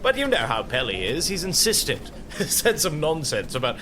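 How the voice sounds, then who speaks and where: An actor playing an earnest, virtuous knight with a tormented sense of honor in an audio drama. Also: male voice, on the high side, moderate pace, a little hiss or hum, heavily acted. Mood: rueful. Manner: earnest, solemn, expressive.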